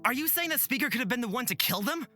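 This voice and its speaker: A woman's voice: sits high, animated, racing, voice pushed up. A woman voicing an earnest, hesitant young man, an outcast in a fantasy visual novel. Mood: questioning. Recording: loud music behind voice.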